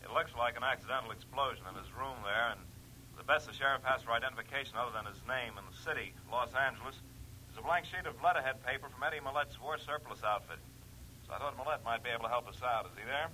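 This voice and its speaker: An actor playing a businesslike homicide lieutenant over the telephone in a 1940s-style radio drama, speaking in an official manner. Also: male voice, medium pitch, bright, forceful tone, a little hiss or hum, poor audio. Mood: brisk, businesslike. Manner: brisk, official.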